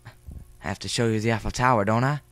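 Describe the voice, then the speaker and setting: A man's voice: on the low side, fairly even intonation, fairly quick, fairly bright tone, dry recording, faint music behind, lightly acted. A man playing an ardent, pleading lovestruck boy in an audio drama, urgent and romantic. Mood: tender.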